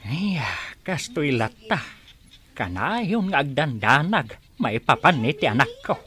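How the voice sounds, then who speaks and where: A man's voice: fairly high pitch, very animated, slow, sharp tone, heavily acted. An actor with an Ilocano Filipino accent playing an anxious, lamenting old father in a radio drama. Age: older. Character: anxious old father fretting over wayward son.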